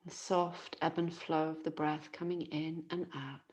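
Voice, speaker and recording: Slow, low-voiced woman guiding deep relaxation. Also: steady tone. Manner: soothing, unhurried, gentle with long pauses. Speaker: yoga nidra teacher. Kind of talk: guided relaxation meditation.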